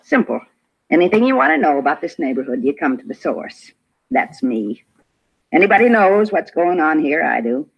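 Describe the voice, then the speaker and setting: An actress playing a prickly, self-important neighborhood gossip in a 1950s radio drama, huffy and argumentative in clipped, emphatic phrases. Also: female voice, low voice, big pitch swings, normal speed, creaky, breathy edge, exaggerated voice. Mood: smug. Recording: dull vintage radio recording.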